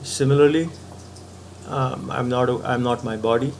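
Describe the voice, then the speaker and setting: A man's voice: medium pitch, steady tone, normal speed, creaky, slight background noise. Man reasoning step by step, patient. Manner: patient, step-by-step reasoning, frequent "right?" checks. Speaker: spiritual teacher. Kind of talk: reasoned philosophical talk to camera.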